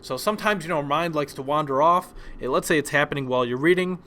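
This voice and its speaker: A young man whose first language is Polish, giving a relaxed presentation lesson with frequent ums and restarts. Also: fairly high pitch, fast talker. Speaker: teacher.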